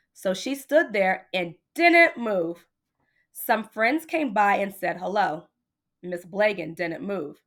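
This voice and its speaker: Woman reading aloud to children. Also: mid-range voice. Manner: addresses child listeners directly, with questions. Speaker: teacher reading a picture book aloud. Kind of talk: animated children's storytelling.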